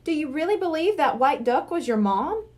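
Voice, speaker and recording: A woman's voice: sits high, big pitch swings, moderate pace, voice pushed up. A woman reading a storybook as a sensible, concerned young girl who talks in an earnest, questioning way.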